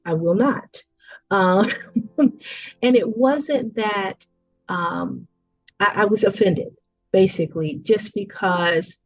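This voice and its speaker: A woman in her forties giving an earnest, reflective personal talk, slowing down to stress her points. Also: on the low side, darkish tone. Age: 40s. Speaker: education leader. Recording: dull lo-fi audio with faint music.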